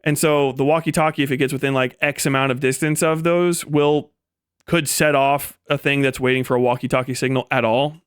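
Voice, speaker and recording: A man's voice: mid-range voice, fairly even intonation, a little fast, clear, resonant tone, no echo, studio quality. A middle-aged man telling stories in a casual, rambling livestream style, with lots of swearing and slang. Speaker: streamer telling stories from military service.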